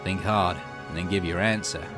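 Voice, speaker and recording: A calm male investigator, acted out by a man in a low voice. He is a visual novel character, measured and polite, giving earnest counsel. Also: music in the background, lightly acted.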